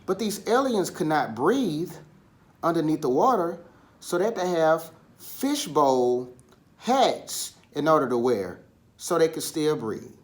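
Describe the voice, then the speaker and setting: A man telling a children's story in an animated style, with dramatic pauses and drawn-out, emphatic words. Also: fairly high pitch. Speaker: storybook reader.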